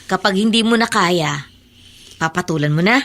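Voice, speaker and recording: A woman's voice: on the low side, moderate pace, fairly bright tone. A woman playing a frank, scolding adviser in a radio drama, blunt and admonishing. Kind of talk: Tagalog radio drama acting.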